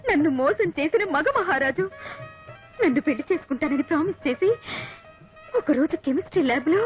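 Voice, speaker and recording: A woman's voice: high-pitched, expressive, fairly quick. An actress in a film comedy playing a melodramatic young woman who claims she was jilted, pleading in an exaggerated way. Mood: plaintive. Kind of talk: Telugu comedy film acting. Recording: dull film soundtrack with music behind.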